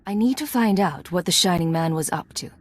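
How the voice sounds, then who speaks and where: A husky, composed spy. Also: female voice, on the low side, expressive, fairly quick. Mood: probing. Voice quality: slightly husky. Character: Imperial intelligence agent, guarded, composed, probing woman operative. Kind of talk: video game cutscene acting.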